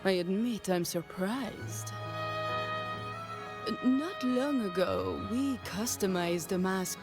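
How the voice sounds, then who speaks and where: An actress playing a playful, theatrical madame of a mask shop in a video game, with genteel, flowery phrasing. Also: female voice, medium pitch, animated, slow, loud music behind the voice. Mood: mysterious, musing. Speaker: shopkeeper.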